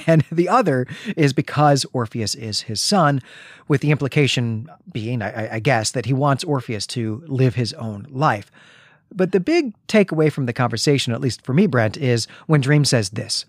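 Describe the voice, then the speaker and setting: A man hosting a literary discussion podcast, talking in a thoughtful, measured way and often rephrasing himself as he goes. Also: medium pitch, lively intonation, dry recording, studio quality. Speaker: podcast host. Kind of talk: literary discussion with retelling and reading aloud.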